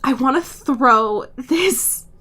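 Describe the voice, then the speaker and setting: A woman's voice: high-pitched, lively intonation, drawn-out words, pushed and bright, breathy edge. An American woman co-hosting a podcast, chatting casually through a comedic book recap in a slangy, mock-exasperated way. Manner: exasperated mock-dramatic delivery, slangy, giggly. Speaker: podcast co-host.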